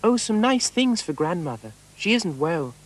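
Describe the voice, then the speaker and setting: A man voicing an innocent, polite little girl in a high, childlike voice. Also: big pitch swings, moderate pace, rough recording.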